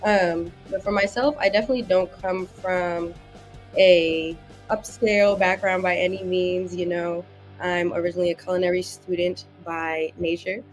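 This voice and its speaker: Young female interview guest from Massachusetts, lowish pitch, casual and wordy storyteller. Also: fairly even intonation, normal speed, soft background music. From Massachusetts, United States. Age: young adult. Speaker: podcast guest.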